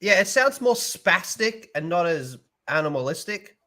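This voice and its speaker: A man with a bright, somewhat high voice whose pitch swings widely as he jokes. He gives blunt opinions in a casual podcast chat. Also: normal speed. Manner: animated, jokey, blunt. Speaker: podcast co-host. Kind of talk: casual chat reacting to anime dubs.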